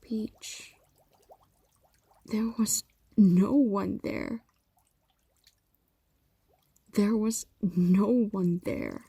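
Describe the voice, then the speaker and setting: A woman voicing a possessive, evasive fox demon in an intimate audio roleplay, speaking softly and often dropping into whispers. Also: medium pitch, fairly even intonation, drawn-out words, vocal fry, husky, dry recording, heavily acted. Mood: coaxing, evasive. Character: possessive, evasive female fox demon doting on captive human.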